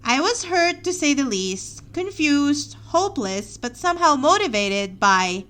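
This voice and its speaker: A woman's voice: sits high, very animated, a little slow, a bit bright. A young woman with a Filipino accent, reading her own personal story aloud on her podcast in an earnest, reflective way. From Philippines. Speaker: podcast host.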